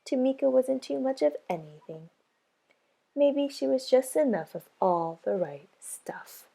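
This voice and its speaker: A woman's voice: sits high, expressive, measured pace, gravelly, mellow. A woman preschool teacher reading a children's storybook aloud as narrator.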